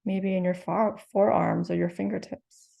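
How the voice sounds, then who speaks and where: A woman leading a guided meditation, speaking slowly and gently with soothing instructions and long pauses. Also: on the low side, fairly even intonation, creaky, muffled recording. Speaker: meditation teacher.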